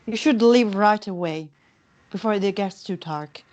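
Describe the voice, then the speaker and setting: A woman's voice: medium pitch, unhurried, a little mellow, muffled recording, lightly acted. A woman playing a stern, aloof commune member in a screenplay read, speaking formally and withholding. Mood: cool, forbidding. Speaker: actor in a screenplay table read.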